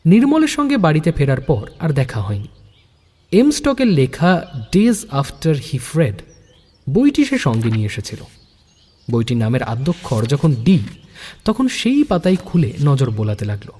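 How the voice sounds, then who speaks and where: A man's voice: on the high side, wildly swooping pitch, unhurried, warm tone, husky, in a large hall. A man hosting and narrating an audio story in a scripted storytelling style. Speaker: story narrator and channel host.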